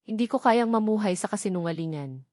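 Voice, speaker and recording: A woman's voice: mid-range voice, animated, a little fast, darkish tone, no echo, studio quality, close to an everyday voice. A woman voicing a betrayed, anxious but resolute pregnant wife in an emotional storybook drama. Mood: pained resolve. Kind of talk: dramatic audio storybook dialogue.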